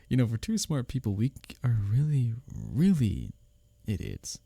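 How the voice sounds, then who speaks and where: Husky, breathy low-key male lover played by an actor. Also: no echo, heavily acted. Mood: incredulous, excited. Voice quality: breathy, husky, often dropping to a whisper. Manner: halting, hushed, teasing, sighing delivery. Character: smitten male workplace rival, teasing and flustered lover. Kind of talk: intimate romantic roleplay audio, ASMR.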